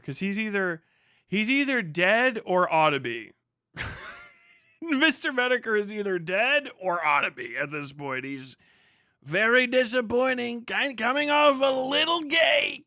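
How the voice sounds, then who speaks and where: Bright-voiced, higher-pitched man streaming. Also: clean tone, no room sound, clean studio sound. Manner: profane, rambling, sarcastic. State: tired. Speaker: livestream host. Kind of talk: casual livestream chat with joking rants.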